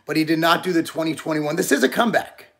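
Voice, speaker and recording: Man, 40s, high gravelly voice, loose rambling co-host. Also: fast, a bit bright. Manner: loose, rambling with frequent restarts. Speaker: podcast co-host. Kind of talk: casual chat.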